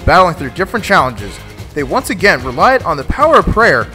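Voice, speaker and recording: A man's voice: fairly high pitch, sing-song, fairly quick, pushed and bright. A man narrating a race show recap in a dramatic, announcer-like manner. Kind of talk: scripted narration of a video recap. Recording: voice-over with music behind.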